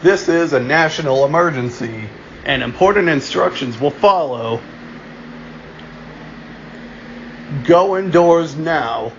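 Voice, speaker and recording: A man playing a solemn emergency alert announcer in an amateur mock broadcast, deliberate and halting, restarting his phrases. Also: a little high, expressive. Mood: urgent warning. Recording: dull lo-fi home recording, noticeable background hum.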